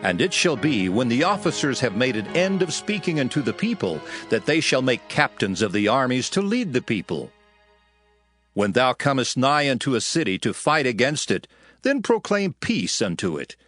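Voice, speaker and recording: A man's voice: mid-range voice, big pitch swings, moderate pace, a bit bright. A man narrating scripture in a solemn, declamatory, dramatized style. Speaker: Bible narrator. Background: music.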